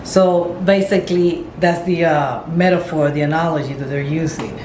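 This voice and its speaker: A woman's voice: low voice, fairly even intonation, moderate pace. Middle-aged woman rambling in an echoey room. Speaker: vlogger paying homage to a pop singer. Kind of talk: casual rambling commentary. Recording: echoey room with faint background noise.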